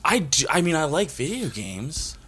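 A man's voice: on the high side, very animated, moderate pace, crowd noise. A man in his twenties in a casual group chat, talking in an enthusiastic, rambling way with many fillers. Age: 20s.

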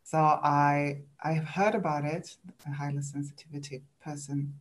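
A woman from Sweden with a Swedish accent, speaking English as a second language as a podcast guest. She talks reflectively and haltingly, with restarts and small laughs. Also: sits low, fairly even intonation. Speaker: guest, coach. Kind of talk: interview.

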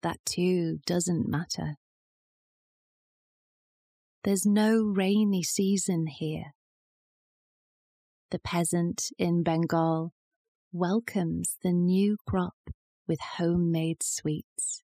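A woman reciting poetry in a measured, deliberate style. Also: fairly low pitch, mellow, breathy edge, dry recording, clean studio sound. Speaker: poetry reader.

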